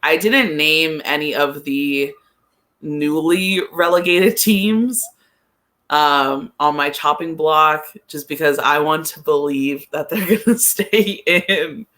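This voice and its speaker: Bright-voiced woman chatting about sports. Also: low voice, takes it slowly. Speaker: podcast guest, soccer pundit. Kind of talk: casual sports chat, giving predictions.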